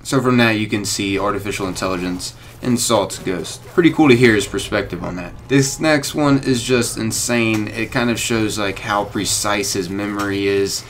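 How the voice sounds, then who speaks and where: A man's voice: fairly low pitch, moderate pace, hardly any pauses, a little hiss or hum. A young man hosting a gaming video, talking to viewers in a casual, chatty, informal way. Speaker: gaming YouTuber, host. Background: light music.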